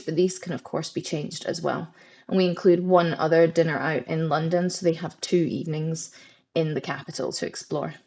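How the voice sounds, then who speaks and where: A woman's voice: fairly low pitch, a little mellow. A Scottish woman presenting a webinar for a study holiday company, friendly and informative as she walks through slides with the odd filler. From Scotland. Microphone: computer mic on a video call.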